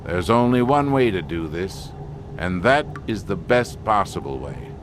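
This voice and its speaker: An actor playing an insistent, argumentative biologist in an audio drama, lecturing precisely and emphatically. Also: male voice, deep voice, very animated, a little slow, background noise. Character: insistent, argumentative male expedition biologist defending his specimen.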